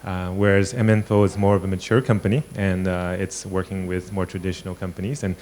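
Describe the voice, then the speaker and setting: Low-voiced man with a halting delivery. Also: flat delivery, darkish tone. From born in China, grew up in the US. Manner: hesitant, many fillers and restarts. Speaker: tech founder interviewed. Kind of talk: business interview, conversational.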